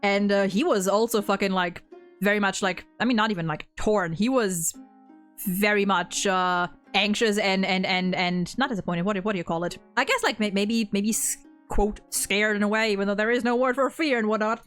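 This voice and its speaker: A woman's voice: mid-range voice, moderate pace, a bit bright, smooth. A woman chatting casually as host, giving opinionated fan commentary in a rambling, gushing, sweary way. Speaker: host of a game story ranking discussion. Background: background music.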